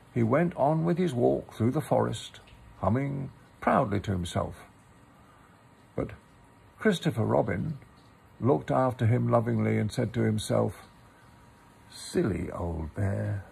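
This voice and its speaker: A man reads a children's storybook at a slow, measured pace. His voice is very creaky and husky, with pauses and informal asides to the listener. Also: medium pitch, darkish tone. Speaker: storybook reader.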